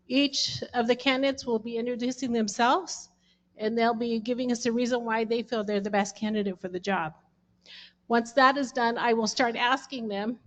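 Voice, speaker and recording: A woman's voice: on the high side, highs cut off. Woman moderator explaining meeting rules. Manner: organized, instructive, with "um" fillers. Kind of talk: announcing meeting guidelines.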